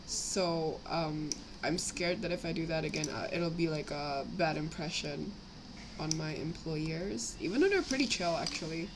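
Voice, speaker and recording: A young woman with a slightly raspy voice from a cough, rambling casually as she chats on a live stream while building models. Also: low-pitched, normal speed. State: sick with a cough, itchy throat. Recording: clear background noise, home livestream.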